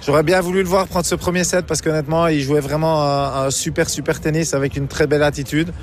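Capitaine belge en interview: monotone, voix haute, hésitant. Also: male voice, a little high, fast, a little hiss or hum. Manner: reflective, many fillers. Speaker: tennis team captain. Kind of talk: post-match interview.

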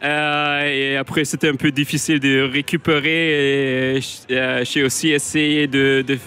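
Canadian man speaking slow, hesitant French. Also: mid-range voice, level tone, sharp tone, clean tone. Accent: English Canadian. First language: English. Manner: slow and hesitant in French, with many pauses and searching for words. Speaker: runner interviewed after a race. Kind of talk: casual interview answers.

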